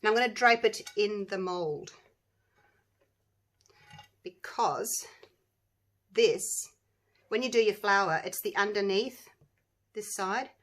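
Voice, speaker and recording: Croaky-voiced woman, casual tutorial host, chatty and rambling. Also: mid-range voice. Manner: chatty, rambling, talks to viewers while working. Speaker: resin craft channel host.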